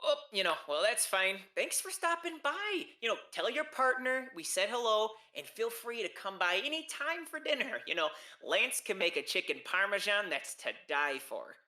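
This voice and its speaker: Man doing a gushing Minnesotan grim reaper, bright voice. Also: high-pitched, sing-song, moderate pace, hardly any pauses, echoey room, pitched above the performer's own voice, heavily acted. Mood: warm, gushing. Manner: chatty, gushing.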